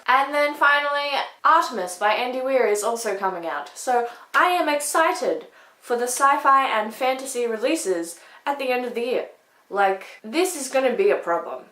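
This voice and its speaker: Woman doing chatty book reviews, kinda high voice, really emphatic, roomy echo on the audio. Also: fairly bright tone. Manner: emphatic, repeats words for emphasis. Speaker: book vlogger. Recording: echoey room.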